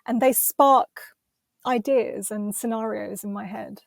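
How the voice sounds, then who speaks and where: A woman's voice: mid-range voice, measured pace, creaky, no room sound, clean studio sound. A woman with an English accent, a singer chatting as a podcast guest in an enthusiastic, rambling way with many small restarts. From London, England. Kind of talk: casual interview chat.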